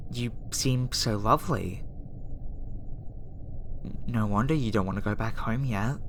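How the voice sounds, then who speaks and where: A kind young alien woman, acted by a female performer talks softly and hesitantly to her human subject in an intimate ASMR roleplay. Her delivery is slow and tender, with a conflicted, easily flustered temper. Background noise and ambience sit behind the voice. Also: extremely low pitch. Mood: sympathetic, reluctant. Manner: soft, hesitant, talking directly to the listener.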